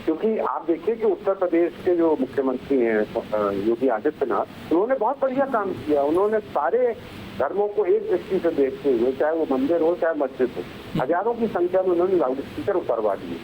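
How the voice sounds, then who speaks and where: A man with a fairly high voice gives earnest debate commentary as a panel guest. The sound is dull and lo-fi, with faint noise behind him. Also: very animated, a little fast. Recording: dull lo-fi remote link, light noise.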